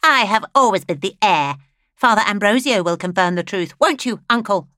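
A woman voicing a sharp-tongued, imperious middle-aged heiress who snaps at her family. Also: mid-range voice, expressive, normal speed, dry recording, clean studio sound, pitched above the performer's own voice. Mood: snappish. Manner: snapping, imperious.

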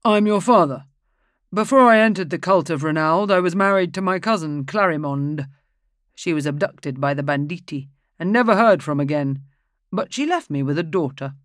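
Lady doing a sleazy old priest guy, earnest and loud-ish, low voice, dry. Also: normal speed, no room sound, close to an everyday voice. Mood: insistent. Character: pious, lecherous older male priest.

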